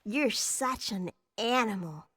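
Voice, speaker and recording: A woman's voice: medium pitch, expressive, takes it slowly, a bit bright, breathy edge, full character voice. A woman playing a friendly young female ally in a video game cutscene. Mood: teasing.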